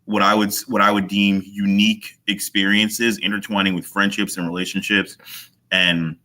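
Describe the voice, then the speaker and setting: A man's voice: on the low side, flat delivery, normal speed, sharp tone. A man from Virginia, a guest author in an interview, who talks in a thoughtful, hesitant way with many fillers. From Virginia, USA. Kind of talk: casual interview.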